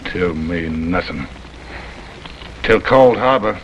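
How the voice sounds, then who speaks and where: Low, scornful western gunman. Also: animated, dull audio. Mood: cold, taunting. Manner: blunt, taunting, drawn-out menace. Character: hard, scornful, blunt rebel gunman held captive in a western. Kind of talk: 1950s TV western acting. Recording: noisy old TV soundtrack, rain-like hiss.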